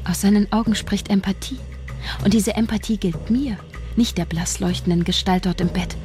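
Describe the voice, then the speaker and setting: An actress playing a scheming, bitter young writer in a radio drama, intense and sarcastic, part dialogue and part inner monologue. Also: female voice, mid-range voice, steady tone, moderate pace, darkish tone, faint music behind, natural voice. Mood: brooding, tense. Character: scheming, bitter young woman soap writer narrating her intrigues. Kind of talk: radio drama acting and first-person narration.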